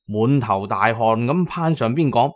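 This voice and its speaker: A man's voice: medium pitch, lively intonation, unhurried, lo-fi sound. A man with a Cantonese accent narrating an audiobook as a dramatic storyteller, with colloquial asides.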